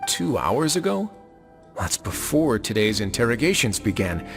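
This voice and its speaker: An actor playing a composed duke who runs a fortress, speaking in a calm, measured, dryly confident way. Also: male voice, medium pitch. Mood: thinking. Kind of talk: game cutscene voice acting. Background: game music.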